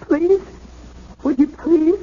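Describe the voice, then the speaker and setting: High-pitched, frantic young wife. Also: female voice, takes it slowly, a little hiss or hum. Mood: pleading, indignant. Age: young adult. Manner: pleading, emphatic repetition. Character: distraught young newlywed wife, insistent and frantic. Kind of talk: 1970s radio drama acting. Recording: dull, band-limited 1970s radio recording.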